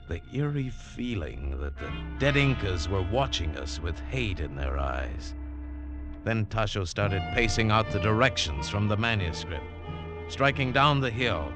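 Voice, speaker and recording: Low-voiced, dramatic hardboiled adventurer. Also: male voice. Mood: suspenseful. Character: tough, wary American adventurer narrating his treasure hunt. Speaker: lead actor and narrator. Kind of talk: 1940s radio drama acting, hardboiled narration. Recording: old 1940s radio broadcast, music behind.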